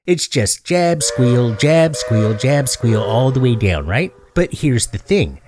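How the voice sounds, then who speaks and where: A man acts out an eager, self-important middle-aged male schemer in a comedy sketch. The voice is mid-pitched and swings up and down with excitement. He sells his idea earnestly and crudely, checking for agreement as he goes. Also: slow pace, background noise. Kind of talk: acted comedic monologue.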